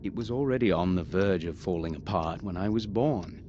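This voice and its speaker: Guy playing stiff formal castle lord in a game, really deep voice, noisy audio. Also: lo-fi sound, natural voice. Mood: dismissive, guarded. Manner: formal, measured, dismissive. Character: aloof dismissive lord of an old castle. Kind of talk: scripted video game voice acting.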